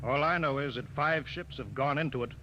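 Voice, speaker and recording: An actor playing a commanding, world-weary male spaceship captain in a 1950s radio drama, brisk and authoritative in manner. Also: medium pitch, sharp tone, close to an everyday voice. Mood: frank, assured. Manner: brisk, authoritative, clipped orders. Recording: noisy, dull vintage 1950 radio recording.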